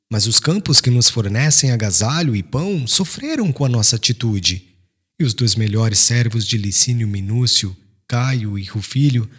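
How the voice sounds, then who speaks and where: A man voicing a tender, devout young son in an audiobook, with gentle, formal, earnest pleading. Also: mid-range voice, husky. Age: young adult. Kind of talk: dramatized audiobook narration.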